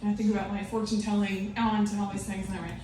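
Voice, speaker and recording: A woman's voice: mid-range voice, level tone, fast, noisy background. A woman whose first language is Romanian tells a comic story on stage, rambling, swearing and laughing at herself, recorded from a distant mic in an echoey live room. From Romanian family. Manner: rambling, swearing, self-mocking, laughing often. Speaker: comedic storyteller. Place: live performance venue with audience.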